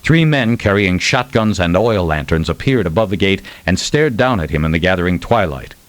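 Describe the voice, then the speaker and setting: A man narrating fiction for an audiobook in a dramatic, expressive and deliberate scripted style. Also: low-pitched, dry recording.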